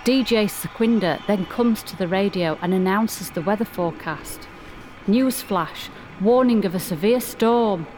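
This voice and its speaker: A woman's voice: medium pitch, measured pace, darkish tone, slight background noise. A woman reading scripted storybook-style narration as the narrator of a community radio play. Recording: music playing behind the voice. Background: music.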